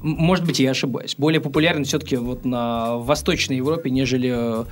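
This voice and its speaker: A man's voice: medium pitch, fairly even intonation, fairly quick, soft background music. A man hosting a casual studio chat, asking guests questions with many fillers and restarts. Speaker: radio host.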